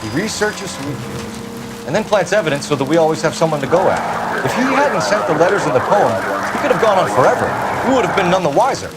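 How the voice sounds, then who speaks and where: Intense, animated police detective. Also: male voice, fairly high pitch, quick pace, very noisy. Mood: urgent, reasoning. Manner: intense, analytical, clipped authority. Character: earnest, driven male 1940s police detective. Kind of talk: dramatic video game acting.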